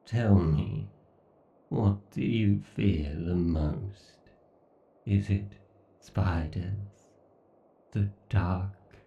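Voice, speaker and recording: A man voicing an arrogant, obsessed, sadistic AI god in a horror roleplay, pompous and menacing, with theatrical pauses. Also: deep voice, gravelly, echoey room, far from the mic, dull audio, exaggerated voice. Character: obsessed, sadistic, arrogant godlike male AI villain. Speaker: voice actor. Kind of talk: horror ASMR roleplay monologue.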